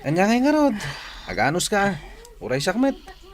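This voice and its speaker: Man acting cocky high-voiced young Ilocano guy. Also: huge pitch swings, normal speed. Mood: concerned. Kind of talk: radio comedy-drama acting.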